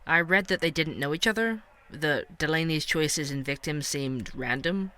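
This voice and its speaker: A man playing an inquisitive true-crime podcast host, narrating in a measured, probing way. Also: a little high, pushed and bright, smooth. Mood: measured, puzzled.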